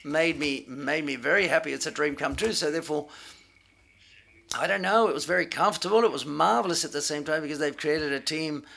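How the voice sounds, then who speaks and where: A man interpreting live, delivering phrases in halting pieces as he renders other people's remarks. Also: a little high, vocal fry, sharp tone.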